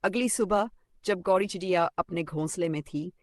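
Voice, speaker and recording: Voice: medium pitch, moderate pace, no room sound, studio quality. A narrator reading a scripted children's story.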